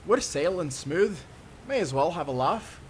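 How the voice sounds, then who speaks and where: Higher-voiced cheerful, dreamy sailor lad, acted by a man. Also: expressive, a little slow, a little hiss or hum. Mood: cheerful, wondering. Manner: old-time nautical dialect. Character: cheerful, dreamy young sailor, credulous and chatty. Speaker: sailor guide and companion. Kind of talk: cinematic audio roleplay, nautical fantasy.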